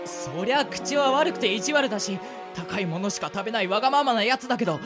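A woman's voice: fairly high pitch, quick pace, music in the background, close to an everyday voice. A woman playing an earnest, pleading young boy prisoner in a radio drama. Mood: anxious, pleading. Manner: expressive, boyish, protesting. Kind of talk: amateur radio drama acting.

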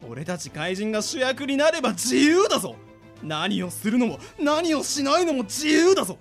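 High-pitched, fervent monster boss. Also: male voice, big pitch swings, normal speed, soft background music, full character voice. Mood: persuasive. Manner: rousing speechmaker, dramatic exclamations. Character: scheming male monster boss, fervent, theatrical, ambitious. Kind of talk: anime-like radio drama acting.

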